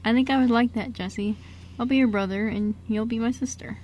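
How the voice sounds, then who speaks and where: Woman playing a hesitant, high-voiced little boy. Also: steady tone, brisk, a little mellow, lightly acted. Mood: shy, warm. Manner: hesitant, stammering, boyish. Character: bookish, shy, easily hurt six-year-old boy. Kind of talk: amateur radio drama acting. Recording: noisy amateur radio recording.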